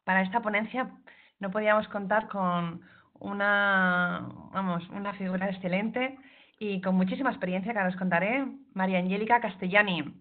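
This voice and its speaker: A woman hosts an online talk, reading out the guest's introduction and brisk housekeeping notes in a mid-pitched voice. Her voice comes through a narrow, phone-like call connection. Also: steady tone. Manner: partly read introduction, brisk announcements. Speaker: event host. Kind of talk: introducing a webinar speaker. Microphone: video call.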